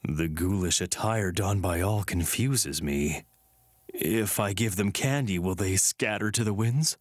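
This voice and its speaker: Male game role: stoic warrior, lowish voice, formal and measured, dry studio sound. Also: no echo. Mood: solemn, formal.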